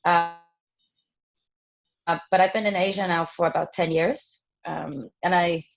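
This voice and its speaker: A woman from Finland in her thirties talks through an interview in a fairly low voice. She pauses often, restarts her sentences and slips in ums as she thinks. The sound is muffled and lo-fi. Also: steady tone, vocal fry. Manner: hesitant, many ums and restarts, laughs often. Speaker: fitness trainer interviewee. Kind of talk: casual interview answers.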